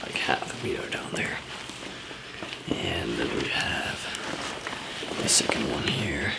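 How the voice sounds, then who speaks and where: A man doing an improvised ASMR roleplay as a weary, easygoing survivor, in a breathy, hesitant, rambling murmur. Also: very deep voice, heavy background noise. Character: weary, easygoing male zombie apocalypse survivor.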